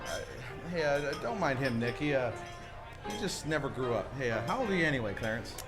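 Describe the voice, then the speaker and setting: A man's voice: mid-range voice, very animated, brisk, lightly acted. A man playing a bewildered, stammering, agitated everyman family man in a 1940s-style radio play. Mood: uneasy, placating. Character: frantic, bewildered everyman husband and father. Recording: live stage play with music behind.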